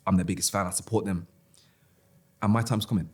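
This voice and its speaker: A man's voice: sits low, fairly even intonation, a little fast, a little mellow. A man in casual podcast chat who talks hesitantly and keeps restarting his sentences.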